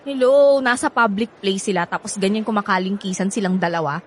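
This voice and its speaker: A woman with a Filipino accent playing a bitter, sharp-tongued, hot-headed young woman in a radio drama. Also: mid-range voice, brisk, a little hiss or hum, lightly acted. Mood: irritated. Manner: sassy, blunt, slangy.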